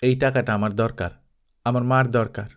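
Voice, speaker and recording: A man voicing a hard, bitter young stage actress in a story reading, with a sharp, insistent, colloquial manner. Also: medium pitch, fairly even intonation, normal speed, lightly acted. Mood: bitter, defiant.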